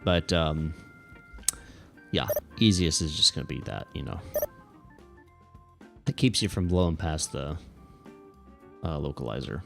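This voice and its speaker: Male flight-sim streamer, low voice with vocal fry, laid-back and informal, faint music underneath. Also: lively intonation, a little slow, long pauses. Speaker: livestream host playing air traffic controller. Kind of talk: casual livestream chat with radio phraseology.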